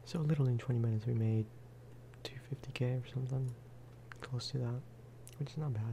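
A man giving soft-spoken ASMR game commentary in a hushed, unhurried voice that at times slips toward a whisper. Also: steady tone, creaky, slight background noise. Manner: unhurried, low-key, musing aloud. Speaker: gaming video creator.

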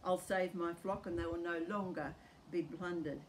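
An older woman with an Australian accent speaks in a calm, earnest teaching tone. Her voice sits a little low. A faint outdoor ambience hums behind her in a rural backyard. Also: moderate pace, slight background noise. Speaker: home church leader. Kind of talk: recorded home church talk.

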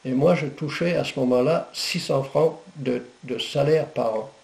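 An older man, a native Breton speaker, recounting his memories with halting pauses in a living room. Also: medium pitch, creaky, a little mellow, roomy sound, poor audio. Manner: halting, many pauses and fillers. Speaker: veteran interviewee.

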